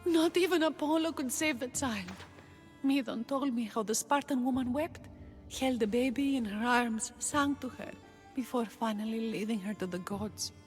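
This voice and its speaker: A woman playing a sorrowful temple woman in a video game, speaking in a solemn, measured way. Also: on the high side, faint music behind, lightly acted. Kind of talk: dramatic game cutscene acting.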